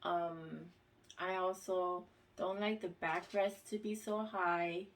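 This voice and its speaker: A woman talks through a casual question-and-answer vlog in a somewhat low voice. She reads each question aloud and answers slowly, with halting pauses and fillers. Her voice sits a little back from the microphone. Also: level tone, far from the mic. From United States. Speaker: vlogger.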